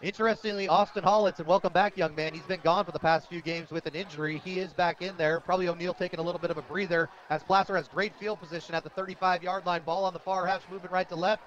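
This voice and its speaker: High-voiced American football play-by-play man, noisy. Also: quick pace, hardly any pauses, dull audio. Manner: excited, emphatic calling of plays. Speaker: play-by-play radio announcer. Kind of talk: live American football commentary. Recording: outdoor stadium broadcast, heavy background noise.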